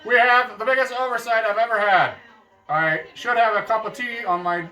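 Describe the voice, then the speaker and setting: A man's voice: high voice, normal speed, bright, forceful tone, far from the mic. A man casually chatting about his reading plans on a book vlog, joking around and correcting himself as he goes. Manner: jokey, meandering, self-correcting. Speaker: book vlogger. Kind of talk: casual rambling book chat.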